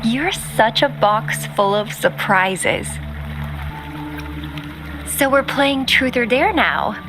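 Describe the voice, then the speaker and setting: Seductive game villainess, high-pitched, languid pace, coy, teasing, flirtatious, music underneath. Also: female voice, animated, slow, rough recording. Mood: teasing, amused. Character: playful, seductive, teasing femme fatale villainess. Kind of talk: video game voice acting.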